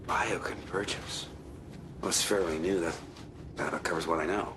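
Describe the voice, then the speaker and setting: An actor playing an earnest, plain-spoken military colonel in a game drama. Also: male voice, low-pitched, steady tone, normal speed, background noise, close to an everyday voice. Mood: sober, troubled. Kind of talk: film drama acting.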